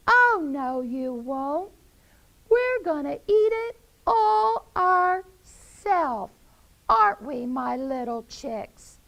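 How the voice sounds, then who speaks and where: A woman voicing a proud, bossy mother hen in a children's story, in a high sing-song way. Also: sing-song, extremely slow, vocal fry, fairly bright tone, heavily acted, poor audio. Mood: boastful.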